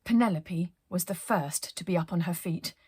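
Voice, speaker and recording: A woman narrating a children's story as a storyteller, in an expressive read-aloud style. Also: on the low side, normal speed, husky, no echo.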